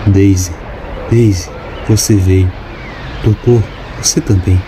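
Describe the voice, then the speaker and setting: Man voicing a calm, weary robot father. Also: deep voice, noisy background. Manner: calm, measured farewell. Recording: music playing behind.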